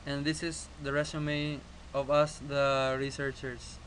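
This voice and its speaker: A nineteen-year-old man from the Philippines, a student presenting a class project and reading his slides haltingly. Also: mid-range voice, level tone, a bit bright, slight background noise, rough recording. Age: teens. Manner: reads slides haltingly, with restarts.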